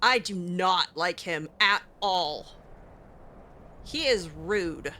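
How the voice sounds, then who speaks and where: A woman's voice: fairly low pitch, animated, very slow, bright, forceful tone, a little hiss or hum. A woman playing a game in character, talking in a sarcastic, exasperated, dramatic way. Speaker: let's play host roleplaying her character.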